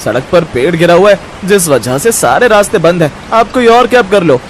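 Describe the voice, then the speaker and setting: A man's voice: sits high, expressive, normal speed, a little hiss or hum. A man voicing an apologetic cab driver in a cartoon story, explaining himself. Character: cab driver, apologetic, firmly refusing the fare. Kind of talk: Hindi cartoon story voice acting.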